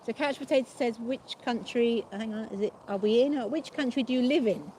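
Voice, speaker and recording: A woman's voice: a little high, fairly quick, warm tone, a little hiss or hum. Agreeable woman reading out live chat. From Australia. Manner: agreeable, laughs often, reads out comments. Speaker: vlog co-host reading chat. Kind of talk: casual livestream chat.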